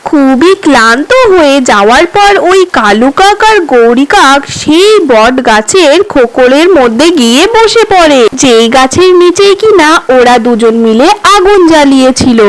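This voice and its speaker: A woman narrating a scripted children's cartoon story in an expressive, emphatic storyteller manner. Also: high-pitched, moderate pace, few pauses, low-quality recording.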